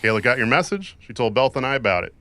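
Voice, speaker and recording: Sharp-edged, sardonic male mercenary, acted. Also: medium pitch, animated, fast talker. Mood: wry. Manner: wry, goading. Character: bitter, sardonic, tough male cyberpunk mercenary and mentor. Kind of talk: audio drama acting.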